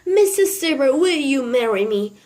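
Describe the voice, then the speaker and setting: A woman voicing a shy, gentle older man in love, speaking earnestly and carefully. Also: sits high, big pitch swings, moderate pace. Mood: nervous.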